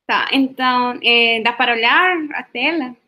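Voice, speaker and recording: High bright Colombian woman leading a workshop. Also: unhurried. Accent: Colombian Spanish. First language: Spanish. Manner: hesitant, frequent fillers and tag questions. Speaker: workshop facilitator. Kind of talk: online workshop talk. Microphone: video call.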